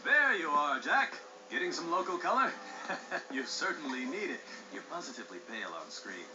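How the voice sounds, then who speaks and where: A man's voice: fairly high pitch, very animated, moderate pace. A man voicing a vain, grandiose racing show host with a sly, hammy delivery in a game cutscene. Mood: slick, sly. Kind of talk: showy announcer acting in game cutscene. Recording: dull game audio with music behind.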